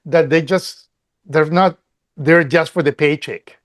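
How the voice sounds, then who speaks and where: A man's voice: a little high, slow pace. A middle-aged man from Colombia, a company CEO answering interview questions earnestly, often restarting and repeating words.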